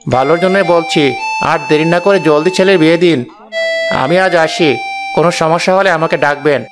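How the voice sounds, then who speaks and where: A blunt village doctor with a slightly high male voice, played by a man. He speaks in an admonishing way, in animated drama style, with music clearly behind him. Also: brisk, natural voice. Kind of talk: animated horror drama acting.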